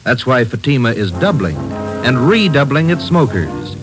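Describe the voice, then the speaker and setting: Man, vintage radio ad announcer, smooth persuasive, lively pitch, music underneath. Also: normal speed. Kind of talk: 1950s radio advertisement. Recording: old radio broadcast with music.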